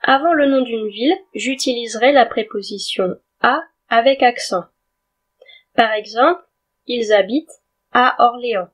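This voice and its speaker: A woman teaching a grammar lesson in a clear, deliberate way, spelling words out letter by letter. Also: a little high, fairly bright tone. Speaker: French teacher. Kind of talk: slow scripted grammar lesson.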